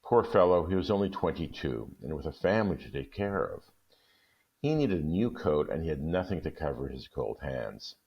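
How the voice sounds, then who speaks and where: A man's voice: a bass voice, moderate pace, vocal fry. A man reading a short story aloud, narrating expressively in a dull lo-fi recording. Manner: expressive, lively. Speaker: story reader.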